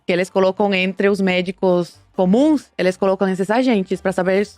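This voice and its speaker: A woman's voice: medium pitch, steady tone, moderate pace, darkish tone, no room sound. A woman from Cuba speaking emphatically and giving firm opinions as a podcast guest. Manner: emphatic, outspoken. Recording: background music.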